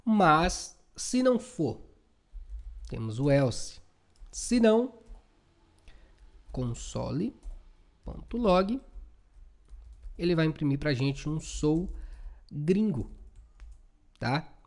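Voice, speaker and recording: A man's voice: fairly high pitch, very animated, drawn-out words, long pauses. A Brazilian man giving an informal, chatty coding tutorial, often checking in with short tag questions. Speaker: programming instructor.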